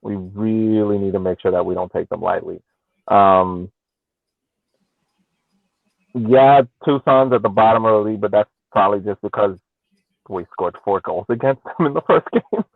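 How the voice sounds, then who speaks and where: Man on a tinny phone-like line, halting. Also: lowish voice, vocal fry, dry recording. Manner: halting, searching for words with repeats. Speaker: podcast co-host. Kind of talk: casual soccer analysis. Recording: tinny, phone-like narrowband call audio.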